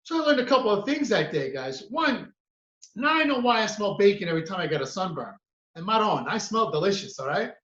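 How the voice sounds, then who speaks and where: Man, stand-up comedian, animated storytelling, echoey room, muffled sound. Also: sits high, fairly quick. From United States. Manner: animated storytelling, acts out his mother and friend.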